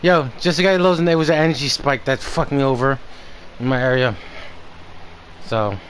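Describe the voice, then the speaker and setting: A man's voice: medium pitch, steady tone, moderate pace, fairly bright tone, slight background noise. A man livestreaming a game in casual chat, grumbling and swearing freely as he talks. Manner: profane, grumbling.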